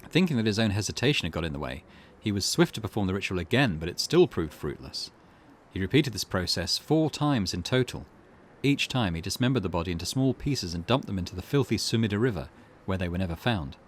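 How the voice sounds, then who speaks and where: A man's voice: low voice, lively intonation, fairly quick. A man reading visual novel narration aloud as a gaming host.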